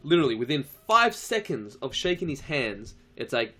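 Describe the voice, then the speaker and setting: A man's voice: mid-range voice, very animated, normal speed, pushed and bright. Laid-back young Australian man. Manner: laid-back, slangy, swears casually. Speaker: live streamer. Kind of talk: casual live-stream chat reading viewer questions.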